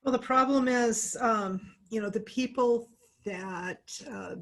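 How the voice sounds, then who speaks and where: A woman's voice: a little high, slow pace. A woman hosting an informal political interview in an effusive, supportive manner. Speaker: interviewer and host.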